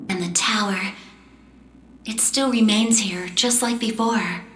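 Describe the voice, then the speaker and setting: A woman voicing a determined, composed young female magician in a fantasy game, earnest and measured. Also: mid-range voice, fairly even intonation, a bit bright, a little hiss or hum. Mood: wistful, reflective. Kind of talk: English-dubbed fantasy game cutscene acting and narration. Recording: roomy echo, game music behind.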